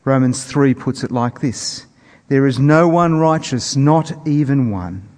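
A man preaching a sermon in a measured, earnest manner with weighty pauses. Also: mid-range voice. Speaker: preacher introducing scripture. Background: soft plucked guitar music.

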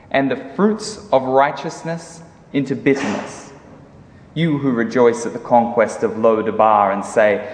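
Slow, solemn man in an echoing hall. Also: mid-range voice. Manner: solemn, declamatory, deliberate phrasing. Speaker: Bible reader in a dramatic reading. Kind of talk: dramatic scripture reading. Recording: echoey hall with long reverb.

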